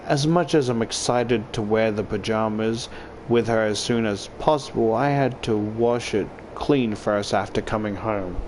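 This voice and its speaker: A man's voice: lowish voice, unhurried, darkish tone, a little hiss or hum. A man reading first-person visual novel narration aloud as a YouTuber.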